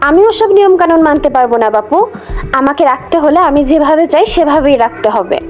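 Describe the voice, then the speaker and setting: A woman's voice: high-pitched, animated, fast. A woman voicing a headstrong, haughty young new bride in a Bengali cartoon, with an argumentative manner. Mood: haughty, irritated.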